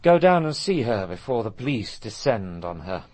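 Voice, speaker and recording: A self-assured English doctor in a radio drama. His voice sits fairly low, and he speaks with dry politeness, coolly sidestepping whatever is put to him. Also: very animated, moderate pace, darkish tone. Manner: polite, smooth-mannered, dry.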